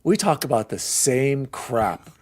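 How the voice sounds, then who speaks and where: A man hosting a casual podcast, ranting in an exasperated, animated way. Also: medium pitch, slow, husky. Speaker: podcast host.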